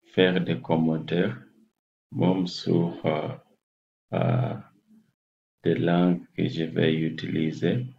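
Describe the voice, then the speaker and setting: A man in his forties with a Kenyan English accent talks to his webcam, a native English speaker hunting for each word as he goes. His voice sits very low with a gravelly fry, and the pace is unhurried. The sound is muffled and lo-fi, like a laptop mic in a small room. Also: darkish tone. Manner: slow, halting, searching for French words. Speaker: health channel host. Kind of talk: casual address to camera. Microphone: laptop webcam at desk distance.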